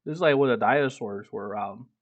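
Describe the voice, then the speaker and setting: A man's voice: medium pitch, quick pace, muffled recording. A man reading a book aloud as a streamer, breaking off into casual, irreverent, swearing commentary. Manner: irreverent, profane asides.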